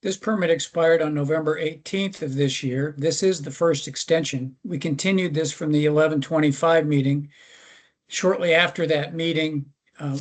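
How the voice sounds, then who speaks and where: A man speaking formally and procedurally at a public commission meeting, halting and correcting himself as he presents cases. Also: a little high.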